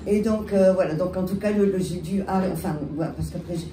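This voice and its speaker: A woman with a French accent and a head cold, telling her story on a panel with halting restarts. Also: fairly low pitch, crowd noise. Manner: halting, many restarts and fillers. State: has a cold. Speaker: bar owner, panelist. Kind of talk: panel talk, recounting her history. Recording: echoey room, distant mic, loud background noise.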